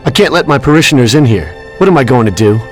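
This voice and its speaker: A man's voice: mid-range voice, expressive, fast, faint music behind, lightly acted. An actor playing a solemn, moralizing fatherly priest in a video game cutscene. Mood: distressed, pleading. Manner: solemn, preachy.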